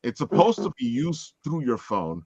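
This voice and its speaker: A man's voice: mid-range voice, huge pitch swings, moderate pace, darkish tone, husky. A man hosting a casual live panel chat over an online call. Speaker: podcast host. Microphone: online video call. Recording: roomy echo, dull lo-fi sound.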